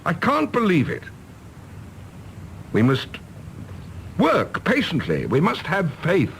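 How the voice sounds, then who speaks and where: A man playing an earnest, prickly, idealistic middle-aged British gentleman in a radio drama, speaking formally and eloquently. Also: fairly high pitch, huge pitch swings, slow pace, vocal fry, slight background noise, exaggerated voice. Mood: earnest, pleading.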